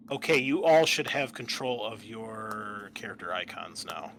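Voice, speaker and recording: A man acting as game master, explaining a tabletop roleplaying game in a casual, halting way. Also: fairly low pitch, lively intonation, fairly bright tone, a little hiss or hum.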